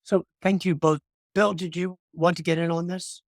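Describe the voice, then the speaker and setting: A man moderating a discussion, brisk and directive as he hands out turns. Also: high voice, flat delivery, no echo, studio quality.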